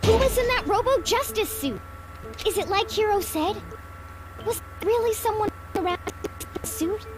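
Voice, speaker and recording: A woman playing an earnest, accusing young student in a video game, insistent and emphatic over loud music. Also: extremely high pitch, expressive, normal speed, pauses often. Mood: confused.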